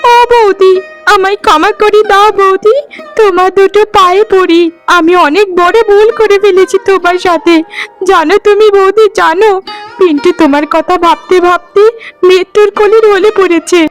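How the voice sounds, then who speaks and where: Actress doing a dramatic cartoon village woman, really high voice, pleading, music behind. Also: fairly even intonation, moderate pace. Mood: remorseful, desperate. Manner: pleading, melodramatic. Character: emotional, remorseful village women of one family. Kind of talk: Bengali cartoon voice acting.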